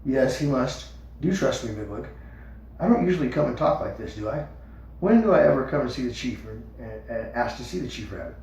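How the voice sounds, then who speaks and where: Man playing a calm young rabbit, gravelly voice, roomy echo, off-mic sound. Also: medium pitch, normal speed, slight background noise. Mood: doubtful, earnest. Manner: calm, reasonable, a little doubtful. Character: level-headed young male rabbit leader. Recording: echoey room, far from mic.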